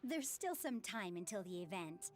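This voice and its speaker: A woman playing a gentle, stoic widowed mother in a video game. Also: medium pitch, sing-song, moderate pace, faint music behind, lightly acted. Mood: gently resigned. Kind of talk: video game character acting.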